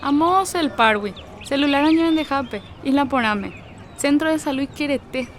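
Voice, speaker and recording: A brisk village fair organizer. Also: female voice, high-pitched, expressive, slight background noise. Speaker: meeting organizer. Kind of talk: radio drama acting.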